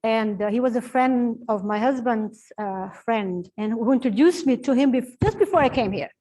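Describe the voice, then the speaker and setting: A woman's voice: fairly high pitch, moderate pace, mellow. A woman with a Portuguese tongue presenting a book she translated, talking in a chatty way with many fillers and restarts. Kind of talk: informal book launch talk. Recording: distant mic.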